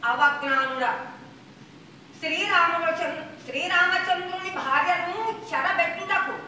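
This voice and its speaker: A woman's voice: very high voice, bright, forceful tone, exaggerated voice. A woman performing a devoted young princess in a traditional puppet play, with formal, imploring declamation. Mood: indignant. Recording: echoey, distant, faintly noisy live sound.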